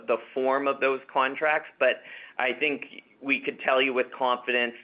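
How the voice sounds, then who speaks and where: A man talks in the measured, confident way of a senior executive on an investor call. He restarts phrases and repeats small words as he answers unscripted. The sound is somewhat dull and lo-fi, as from a conference line. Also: medium pitch. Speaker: company executive answering analyst questions. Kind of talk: corporate earnings call Q&A, unscripted. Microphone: conference call webcast line. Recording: dull, band-limited conference call audio.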